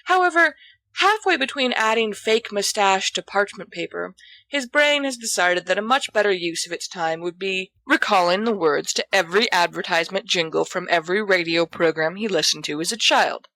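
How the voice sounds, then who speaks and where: A woman reading a scripted story aloud as narrator, with expressive delivery. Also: mid-range voice, normal speed, dry recording. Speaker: audiobook reader of fan fiction.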